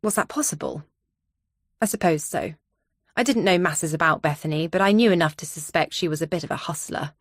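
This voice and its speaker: Low-voiced woman narrating a thriller audiobook. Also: brisk, no echo, studio quality. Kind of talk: scripted first-person thriller narration.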